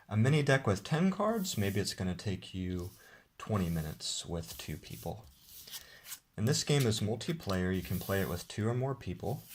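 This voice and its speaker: A man, the game's designer, walking viewers through how to play his card game in a relaxed, explanatory way. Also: low voice, slight background noise. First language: English. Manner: relaxed, explanatory, with occasional restarts. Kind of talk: casual tabletop game demonstration.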